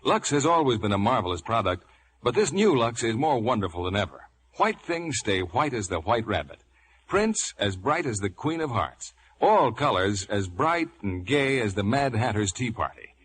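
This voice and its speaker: A man announcing in 1950s radio advertising style, with a polished, emphatic sales pitch. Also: very animated, moderate pace, a bit bright.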